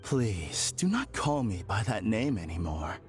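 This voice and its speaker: An actor playing an unsettlingly calm, formal villain in a game cutscene, speaking in a measured, courteous way. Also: male voice, fairly low pitch, soft background music. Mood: serenely polite. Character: eerily serene polite man, unsettling villain. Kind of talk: video game cutscene dub.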